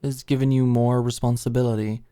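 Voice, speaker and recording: A woman's voice: rumbling low, flat delivery, fairly quick, warm tone, smooth, dry recording. A close, dry recording of a woman acting as a doting, dominant girlfriend in an ASMR roleplay. She fusses and coaxes with eager affection, speaking intimately like an ex-manager who now runs the home. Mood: probing, curious. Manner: affectionate, fussing, coaxing.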